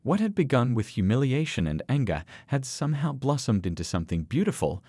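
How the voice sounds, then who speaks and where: A man reading a scripted, sentimental story in a measured, earnest storytelling manner. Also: medium pitch, very animated, warm tone, no room sound. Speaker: story narrator.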